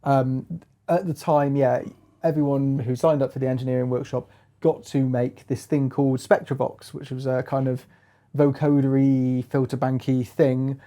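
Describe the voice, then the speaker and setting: A man's voice: mid-range voice, level tone, normal speed, dark tone. A man presenting a hands-on music gear review in a casual chat, feeling his way through phrases with lots of hedging.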